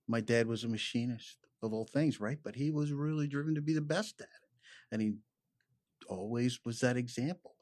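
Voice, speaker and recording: A man, a chiropractor chatting casually on a podcast, animated and peppering his talk with "you know". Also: medium pitch, moderate pace. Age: 50s. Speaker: chiropractor guest.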